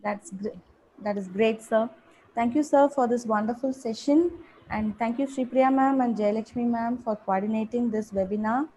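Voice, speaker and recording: A woman's voice: on the high side, moderate pace, warm tone, low-quality recording. A woman from India moderating a webinar, polite and formal, addressing the guest as sir. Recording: faint background noise.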